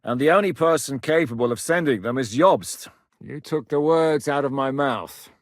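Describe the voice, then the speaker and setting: An actor playing a shrewd, resolute medieval rebel captain who speaks plainly and with authority in a video game. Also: male voice, medium pitch, normal speed, no room sound, clean studio sound, close to an everyday voice. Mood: firm, planning. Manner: authoritative, decisive, plain-spoken. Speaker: military leader planning operations. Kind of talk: video game dialogue acting.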